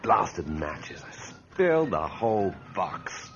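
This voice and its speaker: A cocky man fraying at the edges in a radio horror drama. His low voice lurches up and down as he mutters and exclaims to himself, all bravado. The old recording is muffled. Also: big pitch swings, takes it slowly, full character voice. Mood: nervous bravado. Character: cocky, increasingly rattled man spending a night in haunted room.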